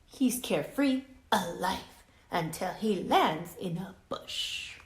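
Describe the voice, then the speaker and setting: A woman reading a picture book aloud to children as a teacher, dramatic and expressive, pausing to ask her listeners questions. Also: lowish voice. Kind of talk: animated children's read-aloud with asides to listeners. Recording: roomy echo with faint background noise.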